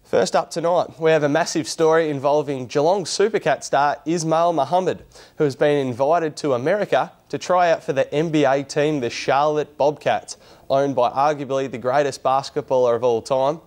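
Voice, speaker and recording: A man's voice: fairly high pitch, darkish tone. Australian man reporting sports news. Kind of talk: scripted sports news report with casual interview questions.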